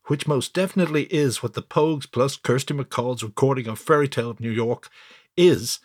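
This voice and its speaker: An Irish man in his sixties delivering a scripted podcast introduction as a journalist and host, with formal, deliberate phrasing. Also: mid-range voice, no room sound, studio quality.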